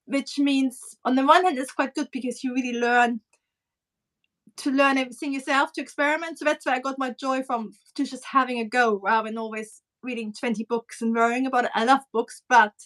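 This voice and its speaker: A woman's voice: high voice, steady tone, fairly quick, fairly bright tone. A woman from Germany with a German accent, a pattern cutting teacher chatting on a live stream, with frequent fillers and restarts. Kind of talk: casual live-stream chat.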